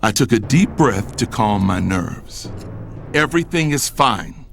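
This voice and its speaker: A man's voice: fairly low pitch, expressive, a little slow, vocal fry, noisy background. A man acting as a hot-tempered, domineering middle-aged father and company boss in an audio drama. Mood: indignant, stunned. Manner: commanding, indignant. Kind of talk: dramatized first-person audio drama narration and dialogue. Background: music.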